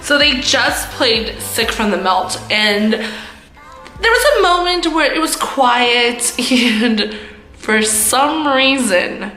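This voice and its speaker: A woman in her twenties chats casually to camera in a loud, forceful, bright voice. Her delivery is giggly and self-mocking. The room adds echo, and faint music plays behind her. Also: on the high side, big pitch swings, extremely slow. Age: 20s. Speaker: vlogger, host of own channel. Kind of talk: casual storytime chat to camera.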